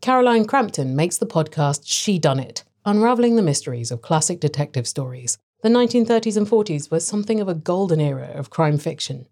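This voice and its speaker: Low-voiced woman narrating a podcast. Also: expressive, a little fast, few pauses, darkish tone. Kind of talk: scripted narration.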